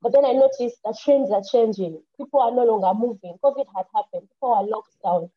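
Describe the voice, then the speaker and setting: A woman's voice: fairly high pitch, fairly quick. A young woman with a Ugandan English accent, an engineer and farmer, telling her story earnestly on an online panel, often restarting her sentences. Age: young adult. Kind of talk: casual personal account on an online panel. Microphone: computer mic on a video call. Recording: muffled lo-fi mic.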